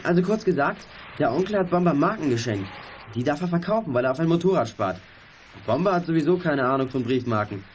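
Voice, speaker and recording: A young man acting a clever schoolboy detective in a radio play, brisk and precise in his explanations. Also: fairly high pitch, expressive, close to an everyday voice. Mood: agitated, explaining. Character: Michael, a clever schoolboy detective, earnest and businesslike. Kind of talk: 1980s German children's radio play acting. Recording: faintly noisy 1980s audio drama tape.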